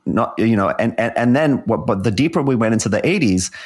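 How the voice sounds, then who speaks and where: Low-pitched man giving podcast commentary. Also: animated, a little fast, breathy edge. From South Africa. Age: middle-aged. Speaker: podcast co-host. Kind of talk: podcast commentary, part scripted.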